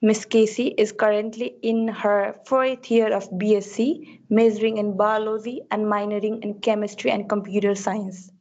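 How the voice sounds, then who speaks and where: A woman's voice: medium pitch, little pitch movement, moderate pace, few pauses, a little mellow, lo-fi sound. Woman host reading a formal introduction. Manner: formal, reads from a prepared script. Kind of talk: scripted introduction. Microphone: computer mic on a video call.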